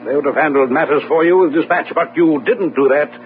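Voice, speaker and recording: An actor playing a shrewd, urbane detective in a radio drama, speaking formally and deliberately with thoughtful hesitations. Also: male voice, on the high side, lightly acted. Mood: probing, authoritative. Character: shrewd, commanding gentleman detective, urbane and self-assured. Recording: muffled phone-like old radio audio, faint noise.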